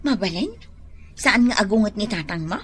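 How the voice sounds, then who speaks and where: An actress playing an excitable village neighbour who exclaims animatedly in a radio drama. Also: female voice, mid-range voice, normal speed, a little hiss or hum, lightly acted. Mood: sheepish. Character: excitable village neighbour woman caught pilfering vegetables. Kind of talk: Filipino radio drama acting.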